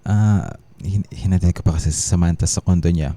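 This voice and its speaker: An actor playing an evasive, irritable cheating husband in a radio drama, halting when cornered. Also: male voice, deep voice, mellow, no echo. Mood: defensive. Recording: music behind the dialogue.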